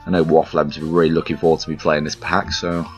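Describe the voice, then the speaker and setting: A man casually commentating on a puzzle game as he plays, rambling and poking fun at himself, his voice croaky today. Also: a bass voice, little pitch movement, fast talker. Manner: rambling, self-deprecating, chuckles often. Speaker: gameplay commentator. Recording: slightly dull lo-fi recording with game music behind.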